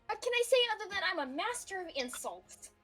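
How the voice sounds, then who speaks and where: A woman's voice: very high-pitched, sing-song, quick pace, fairly bright tone, faint music behind. A woman playing a tabletop role-playing game, chatting casually. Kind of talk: casual gaming chat.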